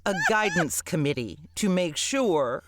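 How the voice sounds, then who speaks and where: A man's voice: high voice, very animated, a little slow, very noisy. A man in casual comedic banter on a podcast, talking in a sassy, mock-dramatic way. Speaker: podcast cast member.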